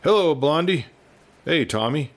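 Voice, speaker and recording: A man's voice: a little high, expressive, a little slow, voice pushed up. Man playing a brash, eager young cowboy. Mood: careless.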